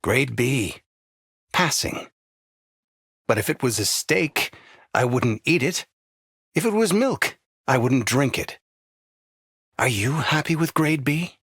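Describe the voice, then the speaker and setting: An actor playing an intense, manipulative mentor, theatrical and condescending, with a husky voice, in dramatic villain voicelines. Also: male voice, mid-range voice, lively intonation, takes it slowly, pauses often, fairly bright tone, no room sound, studio quality, exaggerated voice. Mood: disappointed, condescending. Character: intense, demanding male mentor, manipulative and passive-aggressive.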